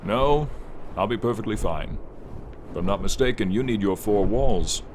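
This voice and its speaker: A man voicing a friendly, protective silver dragon in a scripted fantasy ASMR role play, speaking slowly with formal, tender address to the listener. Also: lowish voice, animated, natural voice. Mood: musing, practical. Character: friendly silver dragon, male, gentle protective old guardian. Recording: clear background ambience noise, light rain effect. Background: light rain and flying ambience.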